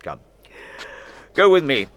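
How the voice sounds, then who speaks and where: Slow-spoken, expressive old nobleman. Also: on the high side, exaggerated voice. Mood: brisk, commanding. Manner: measured, declamatory, verse-speaking. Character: old nobleman, doting father, gracious and commanding host. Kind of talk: classical Shakespearean audio drama acting in verse.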